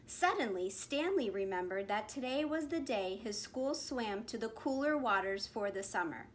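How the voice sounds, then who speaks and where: Animated, high-voiced woman reading a storybook. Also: normal speed. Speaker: kindergarten principal. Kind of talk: expressive storybook read-aloud for young children. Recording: faint background noise.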